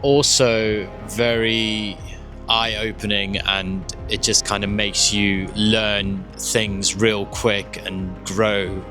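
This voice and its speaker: Young South African guy, low-pitched, candid vlog narration, slight stutter, guitar music underneath. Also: level tone, very slow. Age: young adult. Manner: candid, casual, with swearing. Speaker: vlogger. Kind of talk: reflective personal narration.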